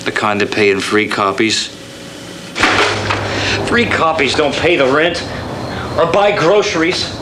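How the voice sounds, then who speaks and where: An actor playing a frustrated, bitter writer in a radio-play drama, cynical and declamatory, on a lo-fi analog recording. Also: male voice, on the high side, sing-song, unhurried, long pauses. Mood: indignant. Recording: very noisy lo-fi analog film transfer.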